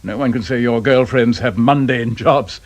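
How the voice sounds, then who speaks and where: A man's voice: medium pitch, moderate pace, natural voice. An actor playing a wry, sarcastic male investigator with a pedantic, teasing manner and a British accent in a radio drama. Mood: probing, teasing.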